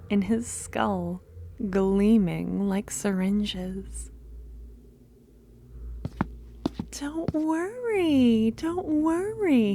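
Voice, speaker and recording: A woman acts out a loving, possessive female cultist in an ASMR roleplay. Her voice is high and soft, drifting into breathy whispers as she coaxes very slowly. Faint noise sits behind her. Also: full character voice. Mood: fascinated, tender.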